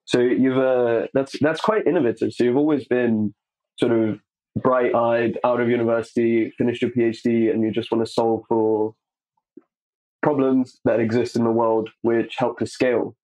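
A man's voice: medium pitch, level tone, normal speed, dark tone, poor audio. A man hosting a podcast, asking friendly prompting questions of his guest. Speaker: podcast host. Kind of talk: podcast interview.

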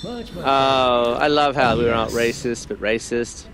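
A man's voice: mid-range voice, slow pace. A man chatting casually while playing a co-op game, talking in a put-on joke accent. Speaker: gamer playing co-op. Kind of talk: casual gameplay chat. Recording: loud game noise behind. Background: game sound effects.

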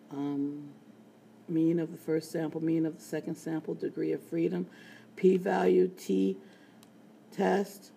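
A woman teaching a statistics lesson in a screencast, with a raspy voice and playful, chatty asides. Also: low-pitched, dark tone, a little hiss or hum. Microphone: tablet screen recording.

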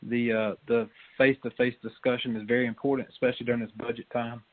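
A man's voice: mid-range voice, level tone, fast. A man speaking as a councilman in a formal meeting held by conference call. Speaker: town councilman. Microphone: telephone. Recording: tinny narrow-band phone line.

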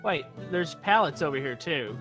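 A man's voice: fairly high pitch, lively intonation, normal speed. A young man making playful, casual banter with friends during a game. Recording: game music behind the voice.

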